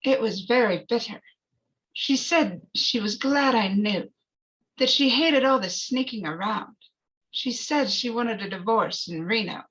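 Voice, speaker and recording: A woman playing a bitter, measured man testifying at his murder trial in a dramatic screenplay reading, on a lo-fi recording. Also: a little high, fairly bright tone. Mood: bitter, guarded. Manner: measured, defensive. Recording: dull, lo-fi recording.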